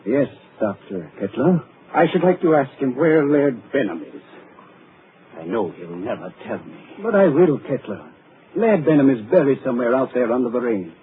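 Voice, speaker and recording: An actor playing a tired, patient asylum doctor who speaks calmly and soothingly in a 1940s radio drama. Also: male voice, mid-range voice, normal speed, natural voice. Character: weary middle-aged male asylum doctor, patient and firm. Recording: muffled lo-fi 1940s radio broadcast with hiss.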